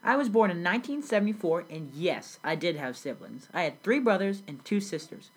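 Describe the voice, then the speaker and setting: A girl playing a husky-voiced immigrant storyteller. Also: lowish voice, sing-song, fairly quick, full character voice. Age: young teen. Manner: earnest storytelling, read from a script. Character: grown immigrant recalling a South Sudan childhood. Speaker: student playing the interviewee. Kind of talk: school project role-play interview.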